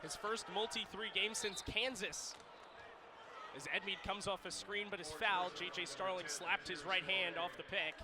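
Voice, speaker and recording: High-pitched man calling radio basketball. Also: fairly even intonation, normal speed. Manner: clipped, descriptive phrases, punching the big plays. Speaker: basketball play-by-play announcer. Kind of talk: radio sports play-by-play commentary. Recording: noisy arena with bouncing basketballs.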